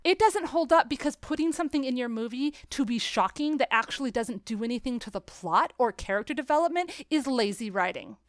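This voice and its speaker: A woman's voice: high voice, animated, a little fast, hardly any pauses, no echo, clean studio sound. A woman chatting casually and opinionatedly about film, emphatic and exasperated, as a podcast co-host. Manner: emphatic, exasperated ranting with acted-out quotes.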